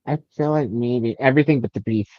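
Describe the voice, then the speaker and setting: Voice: medium pitch, a little fast, no room sound, muffled recording. A host chatting casually and reading out chat on a livestream, with a joking, sweary way of talking.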